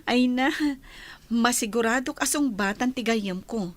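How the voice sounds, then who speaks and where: A woman's voice: fairly high pitch, unhurried, lightly acted. A woman with an Ilocano accent playing a sweet, marriage-minded young village bride in a radio comedy drama. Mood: coy, surprised.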